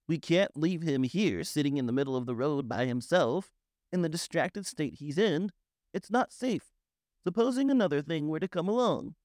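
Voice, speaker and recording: A man's voice: fairly high pitch, expressive, moderate pace, a little mellow, dry recording, clean studio sound, pitched above the performer's own voice, lightly acted. A man voicing a timid, anxious male mole who speaks in a worried, pleading way.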